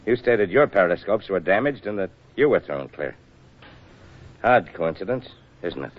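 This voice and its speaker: An actor playing a gruff older navy officer, formal and measured, in a 1940s radio drama. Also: male voice, deep voice, lively intonation. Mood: stern, deliberate. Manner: stern, measured, formal delivery. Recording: dull vintage 1940s radio recording.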